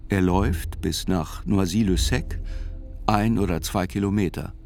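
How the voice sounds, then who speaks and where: Wry trial chronicler narrating radio drama, lowish voice, measured, faint music behind. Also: male voice, a little mellow. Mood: dry, measured. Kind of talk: scripted literary narration in a radio play.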